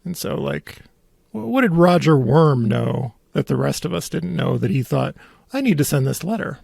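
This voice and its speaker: A man's voice: on the high side, lively intonation, normal speed, creaky, darkish tone, breathy edge, dry recording, studio quality. A man hosting a casual podcast, talking informally with a wry manner. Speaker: podcast host. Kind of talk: casual conversational podcast commentary.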